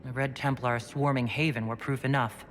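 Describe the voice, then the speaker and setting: Male actor as resolute game hero, practical, composed, matter-of-fact, mid-pitched voice, clear background noise. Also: steady tone, moderate pace, fairly bright tone, close to an everyday voice. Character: resolute, practical fantasy leader commanding an inquisition. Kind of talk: video game voice acting.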